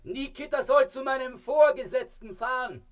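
An actor playing a mild, pedantic married civil servant who speaks with absurd calm and deliberation in a radio comedy. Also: male voice, very high voice. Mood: placid, weary. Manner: placid, deliberate, calling out. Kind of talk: theatrical radio comedy acting.

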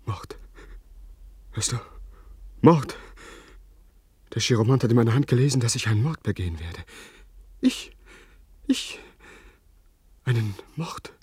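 An actor playing an anguished, brooding young lord, breathy and melodramatic, in a radio play. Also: male voice, mid-range voice, expressive, measured pace, pauses often, no room sound, clean studio sound. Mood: horrified, agitated. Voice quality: breathy, hushed. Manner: haunted, melodramatic. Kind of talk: German radio play acting.

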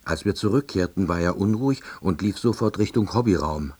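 A melancholy, jobless husband in a radio drama. His voice is very low and slightly husky, and he narrates in short, deadpan diary sentences full of dry self-pity. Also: brisk. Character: lonely, melancholy, laid-off East German husband, drily self-pitying. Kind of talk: scripted first-person narration.

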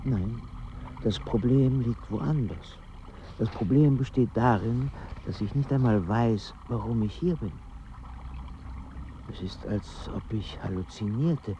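An actor in a German radio drama playing a confused, fussy middle-class intellectual, with a pedantic, hesitant and formal manner. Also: male voice, mid-range voice, slow pace, mellow. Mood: bewildered, searching. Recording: dull sound with faint ambient noise.

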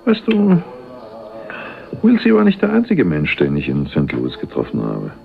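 An actor playing a cocky, laid-back small-time crook in a radio crime drama, talking in a casual, slangy, sly way. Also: male voice, rumbling low, huge pitch swings, normal speed, gravelly, phone-quality sound, soft background music, full character voice. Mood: casual, evasive. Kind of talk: 1980s German radio crime drama acting.

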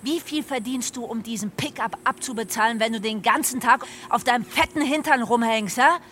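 A woman's voice: fairly high pitch, fairly bright tone, slight background noise, close to an everyday voice. A woman playing a mocking young mother in a radio drama, confrontational, firing off questions. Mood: furious, mocking. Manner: confrontational, rapid repeated questions.

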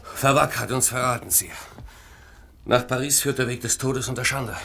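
A man's voice: mid-range voice, normal speed, vocal fry, pushed and bright, a little hiss or hum. An actor playing a fervent, worried follower of a king in a radio drama, urgent and declamatory. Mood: alarmed, fervent.

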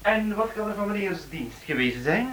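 An actor playing a cheeky manservant in a radio play, speaking with pompous, mock-deferential formality. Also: male voice, high voice, animated, moderate pace. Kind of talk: Dutch-language radio play acting. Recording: slightly noisy old radio recording with clicks.

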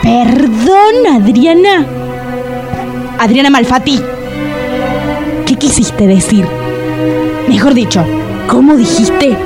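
An actress as a high-voiced Argentine mother, hot-tempered and melodramatic. She speaks with slow, drawn-out indignation in a comic radio drama, with music playing clearly behind her. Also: lively intonation. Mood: indignant. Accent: Argentine Rioplatense.

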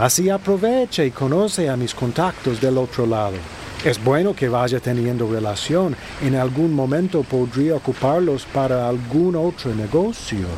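Slow, smug corrupt boss played by a man. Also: mid-range voice, darkish tone, a little hiss or hum, natural voice. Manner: measured, condescending. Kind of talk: radio drama acting.

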